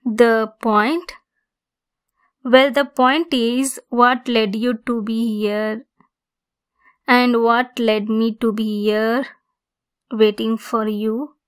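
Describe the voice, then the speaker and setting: A woman playing a lonely, obsessive, domineering female centaur in an ASMR roleplay, speaking deliberately and insistently. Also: a little high, little pitch movement, smooth. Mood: wistful, obsessive. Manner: slow, deliberate, insistent, clingy.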